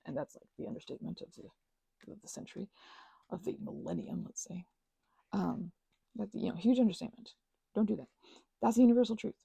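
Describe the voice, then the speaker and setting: Mellow, husky woman rambling casually. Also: mid-range voice, big pitch swings, a little fast, long pauses, no room sound. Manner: meandering, trails off into asides. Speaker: ASMR and audio channel creator. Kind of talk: rambling casual chat to listeners.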